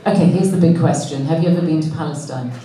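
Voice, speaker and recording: A woman interviewer asking questions in a measured, reflective way. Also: low-pitched, warm tone, far from the mic. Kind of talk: conversational interview. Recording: echoey room, voice from off mic.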